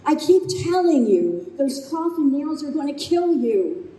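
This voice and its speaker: Woman playing a high-voiced blunt young daughter. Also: sing-song, a little slow, warm tone. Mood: pointed. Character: blunt, protective twenty-two-year-old daughter. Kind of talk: old-time radio stage acting. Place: convention room stage performance. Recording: echoey live room.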